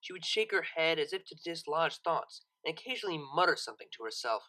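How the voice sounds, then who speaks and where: A narrator reading a scripted story expressively, with dramatic, deliberate phrasing. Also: mid-range voice, sing-song, pushed and bright, poor audio. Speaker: narrator, audiobook reader.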